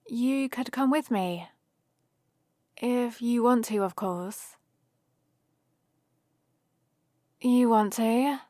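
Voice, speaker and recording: A woman's voice: on the high side, darkish tone. A nerdy young adventuring heroine, played by a woman, chats to the listener in an ASMR roleplay. She rambles cheerfully and explains things in a friendly way, taking her time. The sound is dry and free of room echo. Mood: hopeful, delighted.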